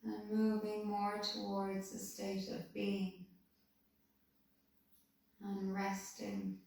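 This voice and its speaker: A woman calmly leading a guided body-scan meditation, giving soothing, unhurried instructions. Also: mid-range voice, gravelly. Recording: very echoey room, voice distant from mic.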